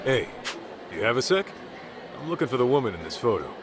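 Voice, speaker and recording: A man playing an easygoing, gruff middle-aged private detective in a video game, talking in a casual way. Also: medium pitch, very animated, fast, background noise, lightly acted. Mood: businesslike. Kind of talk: video game cutscene acting.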